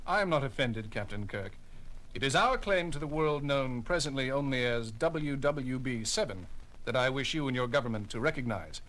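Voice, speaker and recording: A man's voice: mid-range voice, expressive, normal speed, fairly bright tone, close to an everyday voice, poor audio. A man playing a formal, flowery alien envoy in a sci-fi audio drama. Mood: formal, diplomatic. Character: courtly, formal alien government envoys and escort.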